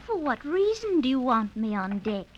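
An actress playing a doting, languid pirate's wife with a breezy drawl in a 1950s radio drama. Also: female voice, high-pitched, sing-song, normal speed. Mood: languid, coaxing. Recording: muffled, hissy old radio broadcast.

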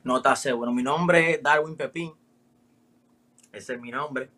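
A man in his twenties from the Dominican Republic with a Dominican accent, a rapper answering interview questions in relaxed slang. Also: mid-range voice, unhurried. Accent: Dominican Spanish. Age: 20s. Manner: relaxed, street slang with frequent "me entiendes" tags. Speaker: rapper guest.

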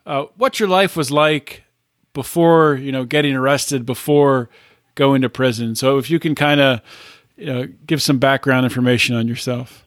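A man hosting an interview podcast, explaining things in a measured way, with halting restarts as he sets up his guest. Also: medium pitch, fairly even intonation, dry recording, clean studio sound. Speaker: podcast host. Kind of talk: casual interview.

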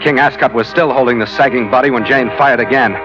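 An actor playing a tough, sardonic ship's skipper in an old radio adventure, with clipped delivery. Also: male voice, mid-range voice, fast talker, natural voice. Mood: grim narrating. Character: hard-boiled, wry ship's skipper and adventurer. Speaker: lead actor and first-person narrator. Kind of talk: 1940s radio adventure acting and narration. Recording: old muffled radio recording with music.

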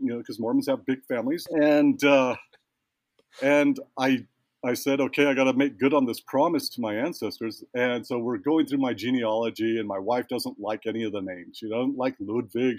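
A man's voice: medium pitch, darkish tone, no echo. A man speaking as an interview guest, telling a long personal story in a thoughtful, meandering way that trails off and restarts. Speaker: guest, ritual tattoo practitioner. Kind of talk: storytelling in a conversation.

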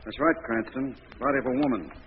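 An actor playing a brusque, matter-of-fact police commissioner in a 1940s radio drama. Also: male voice, medium pitch, animated, quick pace, lightly acted. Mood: brisk, blunt. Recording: dull, noisy old radio recording.

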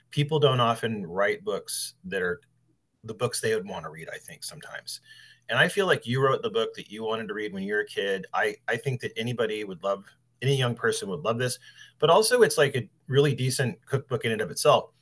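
A man's voice: on the low side, fairly bright tone. A man in his sixties hosting a podcast and talking about books. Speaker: podcast host and librarian. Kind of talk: podcast talk, introducing and interviewing an author.

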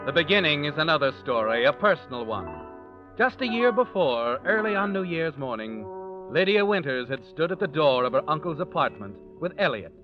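An American man playing a mysterious, all-knowing narrator of dark tales in a theatrical, deliberate 1940s radio mystery style. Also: on the high side, sing-song. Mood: grave storytelling. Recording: muffled old radio recording, prominent music behind.

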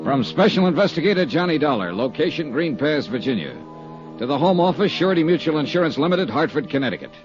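A dull vintage radio recording of a hard-boiled insurance investigator. His delivery swings widely in pitch, clipped, wry and persistent, in classic 1950s detective style. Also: very animated, quick pace, music in the background. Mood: brisk, wry narration.

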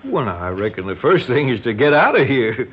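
A man's voice: medium pitch, sing-song, fairly quick, husky. An actor playing a folksy, stubborn rural American father turned kidnapper in an old radio drama, drawling with homespun politeness laced with menace. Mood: genially menacing. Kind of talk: 1960s radio drama acting. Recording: muffled old radio broadcast, heavy noise.

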